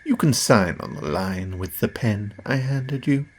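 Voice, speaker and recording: A man's voice: fairly low pitch, animated, a little slow. A man playing a cocky, condescending, powerful demon who makes deals, performed as a theatrical audio roleplay spoken straight to the listener. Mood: smug, dismissive.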